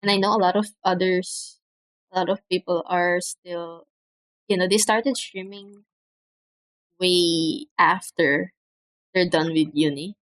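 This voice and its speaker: A young woman from the Philippines chats casually on her livestream, speaking softly with frequent pauses and fillers. Her cheap mic gives a dry, close sound in a room at home. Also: fairly low pitch, fairly even intonation, no echo, poor audio. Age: young adult. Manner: soft-spoken, hesitant, many fillers. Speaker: streamer. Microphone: cheap desk mic.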